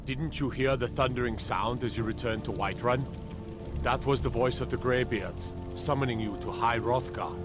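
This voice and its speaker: A man's voice: medium pitch, moderate pace, music in the background, natural voice. A man acting a proud, fervent fantasy warrior. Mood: reverent, indignant. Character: proud, fervent Nord warrior who reveres old legends. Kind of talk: fantasy video game acting.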